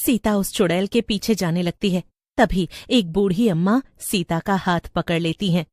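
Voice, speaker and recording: A woman's voice: fairly low pitch, moderate pace, darkish tone, dry recording, clean studio sound. A woman narrating a scripted story as the storyteller.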